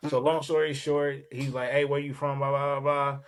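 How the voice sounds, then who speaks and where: A man from Los Angeles, a podcast guest, telling a casual, streetwise story in an animated, profane way. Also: mid-range voice, normal speed, smooth.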